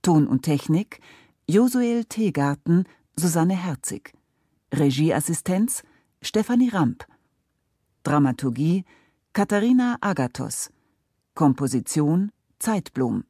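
A woman reads scripted radio credits as an announcer. Her voice is very low and mellow, paced slowly, in a dry studio sound. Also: big pitch swings, breathy edge, dry recording.

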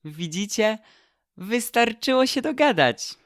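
An actor playing an earnest, halting young boy statue who makes peace, in a radio play. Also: medium pitch, lively intonation, no echo, clean studio sound. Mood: pleased.

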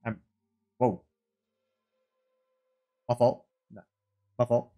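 A man's voice: medium pitch, monotone, drawn-out words, lo-fi sound. A man in his twenties giving casual, slangy reaction commentary, exclaiming and repeating himself. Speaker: reaction streamer. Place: small bedroom streaming setup, indoors. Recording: loud music from played videos. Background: game trailer music.

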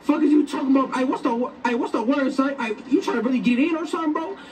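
A man roleplaying in a game clip, shouting excited, repetitive street slang over voice chat. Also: extremely high pitch, very fast. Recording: distant game voice chat with crowd noise.

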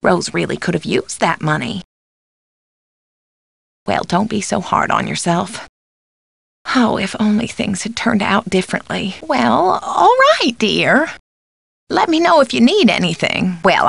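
Creaky-voiced, motherly American housekeeper. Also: medium pitch, very animated, unhurried, no echo, full character voice. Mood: concerned, then kindly. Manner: genteel, caring, gently confiding. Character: kindly, motherly, concerned housekeeper woman on the phone. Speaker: video game voice actor. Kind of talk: acted game dialogue lines.

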